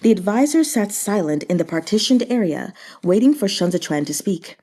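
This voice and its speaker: A woman reading a novel aloud as narrator, in a measured storytelling manner. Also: medium pitch, sing-song, mellow. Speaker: audiobook reader.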